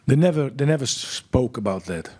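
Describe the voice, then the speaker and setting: A male panelist answers in a plain-spoken way, repeating phrases as he goes. His voice is husky and medium in pitch. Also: animated, a little fast, a little mellow.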